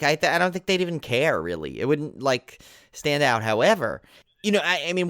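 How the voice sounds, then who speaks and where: A man's voice: mid-range voice, a bit bright, no room sound, studio quality. A man with a New York accent co-hosting a podcast in casual chat, rambling and laughing often. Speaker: podcast co-host.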